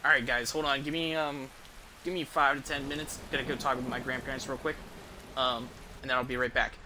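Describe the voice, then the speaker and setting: A man's voice: mid-range voice, steady tone, a little fast, pushed and bright, a little hiss or hum. A man giving casual, rambling gameplay commentary in a hesitant, profanity-prone way. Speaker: game streamer.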